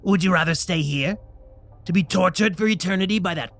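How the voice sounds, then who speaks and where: A man playing an older herald of a dark god in a fantasy audio drama, solemn, formal and commanding in manner. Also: high voice, a little fast. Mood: stern, solemn. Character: older male herald of a dark god, stern yet merciful.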